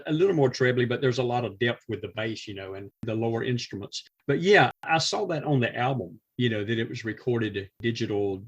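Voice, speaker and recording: A middle-aged man with a slightly rough, husky voice at medium pitch talks casually as a podcast guest. He is enthusiastic about music and repeats words to stress them. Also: muffled recording. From United States.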